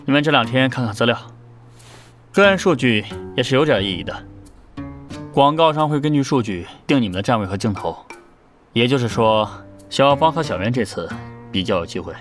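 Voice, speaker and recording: An actor playing a businesslike, matter-of-fact manager of an idol group, in an audio drama. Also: male voice, medium pitch, expressive, fairly quick, faint music behind, natural voice. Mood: brisk, dismissive.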